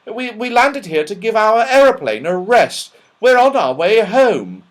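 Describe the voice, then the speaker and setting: A plucky, sensible young boy from a storybook, voiced by a man. He is polite and quick to exclaim or question, with a high pitch that moves up and down freely. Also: very animated. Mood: nervous protest.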